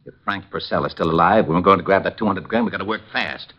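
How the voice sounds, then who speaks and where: An actor playing an exasperated, sarcastic police lieutenant in an old radio detective drama. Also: male voice, sits low, quick pace. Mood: businesslike. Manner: barking, sarcastic, impatient. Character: gruff, exasperated, short-tempered police lieutenant. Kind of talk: 1940s-50s radio detective drama acting. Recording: muffled old-time radio recording.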